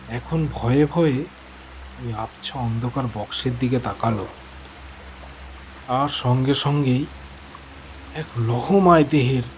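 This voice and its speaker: A man's voice: mid-range voice, a little mellow, a little hiss or hum. A man narrating a horror story in a suspenseful, theatrical, dramatic manner.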